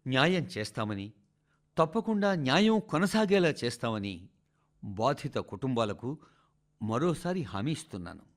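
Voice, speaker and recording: A man's voice: mid-range voice, sing-song. Formal male radio reader with swooping intonation. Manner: measured, emphatic oratorical phrasing. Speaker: radio announcer reading a translated speech. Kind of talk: formal scripted speech read-out for radio.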